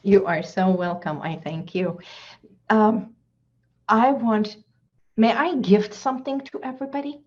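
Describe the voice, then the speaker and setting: A woman's voice: medium pitch, a little slow, pauses often, highs cut off. A woman hypnotherapist giving an earnest, reflective inspirational talk as a guest in an online session, repeating her key phrases.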